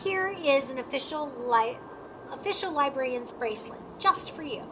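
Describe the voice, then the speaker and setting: Woman acting kindly female librarian, high voice, playful, teasing, drawn-out delivery, storybook, noisy recording. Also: lively intonation. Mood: fond. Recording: clearly noisy background.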